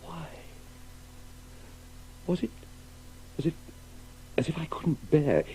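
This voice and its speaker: An actor playing an anguished middle-aged father full of guilt and grief in a radio play, halting and repeating himself. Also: a little high, expressive, dark tone, breathy edge, heavily acted, poor audio. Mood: guilt-ridden, anguished. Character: anguished, guilt-ridden father grieving a lost woman. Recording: faint hiss of an old radio broadcast.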